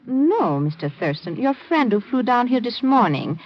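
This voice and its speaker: An actress playing a calm, soothing, formal nurse in a 1950s radio drama. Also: female voice, a little high, normal speed. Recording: muffled, hissy vintage radio broadcast.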